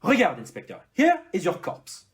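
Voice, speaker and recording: Audio play detective: courteous, self-assured, French accent, formal and theatrical, hugely animated pitch. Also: moderate pace. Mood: amused, explaining. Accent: put-on French.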